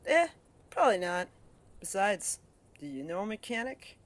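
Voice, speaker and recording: A woman's voice: medium pitch, sing-song, normal speed, no room sound, close to an everyday voice. A woman playing a brash, tough wasteland wanderer who talks bluntly and curses. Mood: friendly. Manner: blunt, cursing, sharp-tongued.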